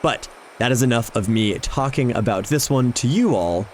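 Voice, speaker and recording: A man's voice: medium pitch, lively intonation, moderate pace, slight background noise. A man giving casual, informal game commentary with an enthusiastic manner. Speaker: video game vlogger, host. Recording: music behind the voice. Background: game background music.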